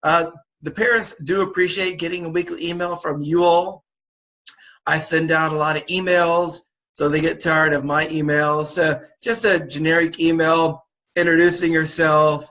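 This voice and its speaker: A man with a sore throat, sniffling a little, briefing volunteer youth basketball coaches over a video call in a relaxed, repetitive way with many fillers. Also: a little high, moderate pace, poor audio. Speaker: youth basketball league organizer.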